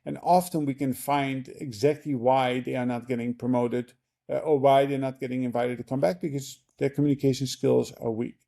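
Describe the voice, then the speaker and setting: Gravelly, dark-voiced male podcast host. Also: medium pitch, steady tone, moderate pace. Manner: rambling, many fillers and restarts. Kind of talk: casual interview talk.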